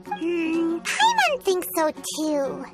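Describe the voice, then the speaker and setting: A woman voicing a tiny, talkative, easily flustered fairy guide in a video game, with a squeaky childlike voice. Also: wildly swooping pitch, very slow, heavily acted. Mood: puzzled. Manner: refers to self in third person, exclaims. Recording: music behind the voice.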